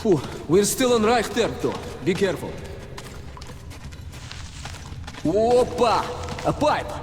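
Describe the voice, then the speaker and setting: A man's voice: high voice, very animated, unhurried. A man playing a brash, foul-mouthed and loyal wartime comrade in a video game. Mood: wary. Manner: foul-mouthed, blunt, urgent. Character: brash, loyal male comrade, tough survivor under fire. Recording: loud music over the voice.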